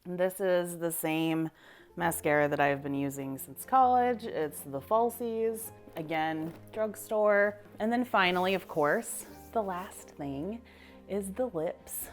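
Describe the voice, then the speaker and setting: A woman in her thirties with a low voice, chatting casually while doing her makeup for a vlog, upbeat and self-deprecating. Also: takes it slowly, soft background music. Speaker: vlogger. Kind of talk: casual get-ready-with-me vlog.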